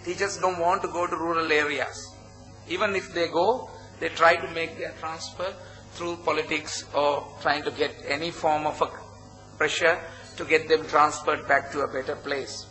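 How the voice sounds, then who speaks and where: A man with a Sri Lankan accent giving an earnest conference presentation in short, halting phrases. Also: fairly high pitch, steady tone, bright, forceful tone, faint music behind, low-quality recording. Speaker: conference presenter, project founder. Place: conference hall at a hotel.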